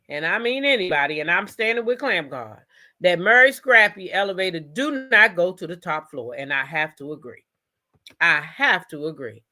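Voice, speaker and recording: A woman's voice: lowish voice, pushed and bright. An American woman doing casual live gossip commentary as host, sassy and emphatic, often repeating phrases for emphasis.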